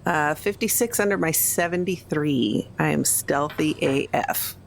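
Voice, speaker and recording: Female game player, notably deep for a woman, casual delivery, faint rumbling noise behind. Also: unhurried, vocal fry.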